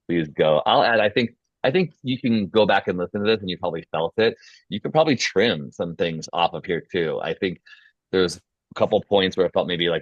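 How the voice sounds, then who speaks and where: Casting: male moderator, deep voice, informal and encouraging, dull call audio. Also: no room sound. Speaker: host moderating a script feedback session. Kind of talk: casual facilitation and critique. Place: online video call.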